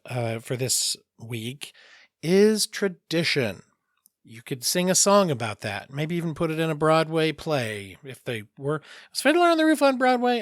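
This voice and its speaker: A man with a slightly raspy voice talks through a solo mental health tip. He rambles through jokey asides and corrects himself as he goes, his pitch sitting right in the middle. The recording is dry and close, with no room sound. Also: no echo. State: a bit raspy voice. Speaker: podcast host, therapist.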